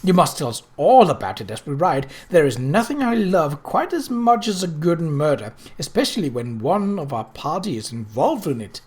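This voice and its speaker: An imperious, effusive society lady from an Edwardian storybook, acted out by a reader, swooping widely in pitch. She is theatrical and bossy, with a keen relish for scandal. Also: big pitch swings, moderate pace, hardly any pauses, darkish tone, husky. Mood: delighted, shocked.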